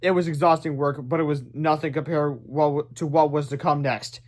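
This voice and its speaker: A man reads a children's book aloud. His voice sits a bit higher than most men's as he narrates the story. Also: fairly even intonation, a little fast. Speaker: storybook reader.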